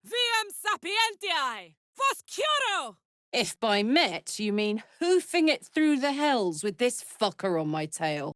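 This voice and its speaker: A woman's voice: high-pitched, big pitch swings, takes it slowly, a bit bright, dry recording, clean studio sound, full character voice. An actress with a British accent playing a brash, big-hearted, battle-hardened warrior woman in a fantasy video game, blunt and earthy in manner. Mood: spellcasting, loud, then wry.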